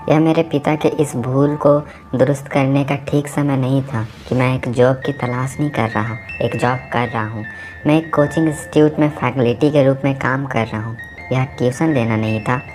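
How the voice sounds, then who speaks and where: A man narrating a novel aloud at home, with a sore throat from a cough, voicing the dialogue as he reads. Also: medium pitch. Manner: casual, mixes English words into talk. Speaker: audiobook narrator. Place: home recording, busy household.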